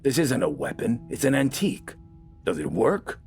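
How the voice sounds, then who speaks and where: A man voicing a gruff, bossy, scornful army corporal in an audio story, barking curt, sarcastic orders. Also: mid-range voice, big pitch swings, moderate pace, a little mellow, soft background music, voice pushed up.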